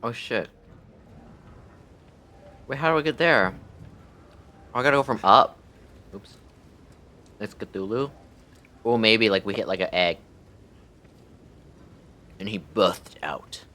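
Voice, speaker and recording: A man doing casual, profane, jokey livestream commentary while playing a game, thinking out loud. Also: on the low side, slow pace, long pauses.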